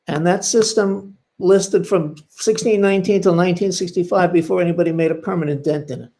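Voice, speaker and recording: Higher-voiced older man, emphatic. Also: brisk, dark tone. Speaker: panelist, history commentator. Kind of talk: spoken panel discussion, explanatory.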